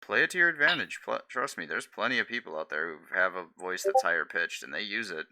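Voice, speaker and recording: A man's voice: low-pitched, animated, fast talker, pushed and bright. A young man chatting casually on a live stream, blunt and slangy, swearing easily.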